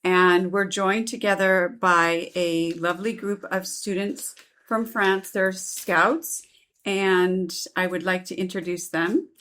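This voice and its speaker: A woman's voice: fairly low pitch, steady tone, slow pace, fairly bright tone. A woman hosting an indoor gallery conversation. She speaks fluently and at length, linking long sentences together without strain. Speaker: host, volunteer organizer. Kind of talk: interview conversation.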